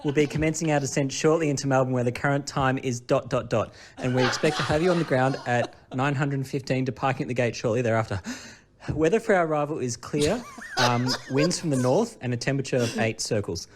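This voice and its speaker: A man's voice: medium pitch, brisk, background noise, pitched above the performer's own voice, close to an everyday voice. A man playing a nervous first-time second officer, hesitant and stumbling through a parody cabin announcement. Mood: nervous, flustered. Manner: hesitant, stumbling, apologetic, giggly.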